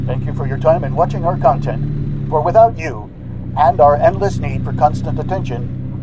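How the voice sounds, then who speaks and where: A man's voice: a little high, heavy background noise. A man delivering a scripted, mock-formal channel greeting as host, with tongue-in-cheek politeness.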